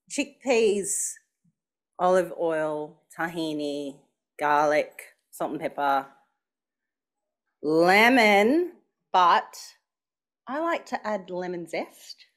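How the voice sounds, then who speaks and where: Lively Australian woman emceeing an event. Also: on the low side. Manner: chatty, playful, engaging the room. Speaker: master of ceremonies at an event launch. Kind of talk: live speech to an audience. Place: function room with a live audience.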